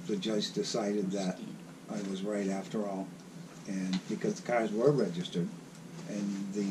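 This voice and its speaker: Raspy older man with a low voice. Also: background noise. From South Carolina. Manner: halting, rambling storyteller. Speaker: interviewee. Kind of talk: casual reminiscing.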